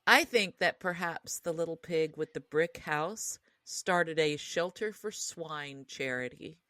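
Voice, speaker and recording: Bright, lowish-voiced woman chatting casually. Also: slow, dry recording. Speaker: podcast co-host. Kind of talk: casual comedic discussion.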